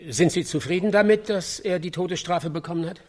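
On-site reporter for radio drama: slightly high, breathy-rough voice, courteous, halting. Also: male voice. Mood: sober, probing. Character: field reporter interviewing witnesses, polite, halting.

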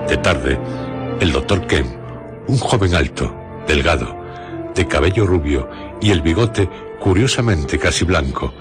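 Very deep Castilian male radio narrator. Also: animated, a little slow, pauses often. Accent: Castilian Spanish. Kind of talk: scripted radio drama narration. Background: music.

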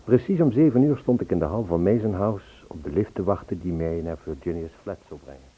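An earnest lovesick suitor, tinny sound. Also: male voice, low-pitched, lively intonation, a little fast, breathy edge. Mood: wistful narration. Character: lovesick man anxiously searching for a vanished woman. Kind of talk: Dutch radio play, acting and first-person narration. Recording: tinny phone-like old radio recording.

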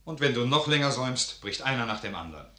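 An actor playing an impatient, reproachful officer and confidant in a classical German radio drama, speaking in a pressing, pointed way. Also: male voice, mid-range voice, expressive, quick pace, bright, forceful tone. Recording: echoey, roomy radio play recording.

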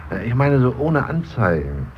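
An actor playing a blunt, resigned, grumbling mourner in a naturalistic radio play. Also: male voice, medium pitch, sing-song, normal speed, natural voice. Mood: musing, resigned. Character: gruff, grumbling male mourner, fatalistic. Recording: muffled, faintly noisy 1970s radio recording.

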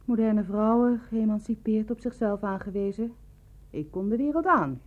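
Coolly probing, lonely woman acted by a woman, husky. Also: medium pitch, moderate pace, close to an everyday voice. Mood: sorrowful, quiet. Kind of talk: radio drama acting. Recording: narrow, dull lo-fi radio play recording.

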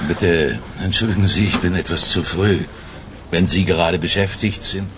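A man playing a self-assured police lieutenant colonel in a German radio crime drama, speaking in a measured, deliberate way with pauses for effect. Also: sits low, background noise, lightly acted. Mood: polite, confident. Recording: music playing behind the voice.

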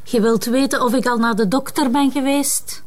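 A woman's voice: sits high, steady tone, moderate pace, close to an everyday voice. An actress playing a composed, outspoken teenage girl with a Flemish accent in a naturalistic TV drama. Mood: subdued, pleading.

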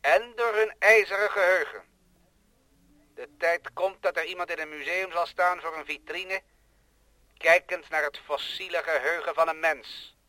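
An actor playing a cold, boastful thinking machine that scorns humans, in solemn declamatory radio play style. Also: male voice, a little high, moderate pace, pushed and bright, dry recording. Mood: coldly prophetic. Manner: solemn, pompous, rhetorical.

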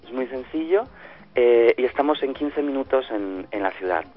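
A man from Ecuador talks over a dull, lo-fi phone line at a middle pitch. He hesitates often and starts his sentences over, yet he speaks warmly and eagerly about his hometown's food and festivals. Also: animated, moderate pace, soft background music. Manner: hesitant, many fillers and restarts, enthusiastic about his town. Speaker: festival organizer guest. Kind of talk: radio interview answers.

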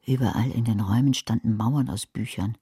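An actress playing a sardonic, greedy retired librarian in a radio drama, older, with a dry, wry, literary delivery. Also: female voice, a bass voice, fairly quick, dark tone, husky, dry recording, exaggerated voice. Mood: wry disgust. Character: greedy, sardonic retired librarian woman narrating her story. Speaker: narrator and lead character. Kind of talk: radio drama narration and dialogue.

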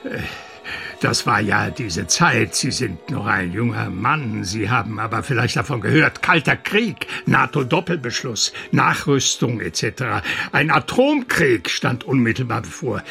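An actor playing a boastful, self-important engineer of ninety in a radio play. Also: male voice, mid-range voice, creaky, pushed and bright, heavily acted. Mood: self-satisfied. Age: older. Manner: self-important, speaks of himself by surname. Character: boastful ninety-year-old engineer, proud bunker builder. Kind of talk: radio drama acting.

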